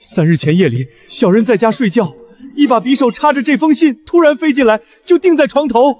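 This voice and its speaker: Man voicing a high, pleading cloth merchant. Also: animated, fairly quick, darkish tone. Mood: frightened, pleading. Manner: self-abasing, pleading. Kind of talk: Chinese animated period drama acting.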